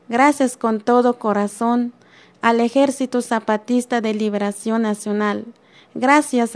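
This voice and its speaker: Woman reading a letter on air, slow delivery, higher voice, earnest. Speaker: reader of a listener letter. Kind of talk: reading a letter aloud on radio.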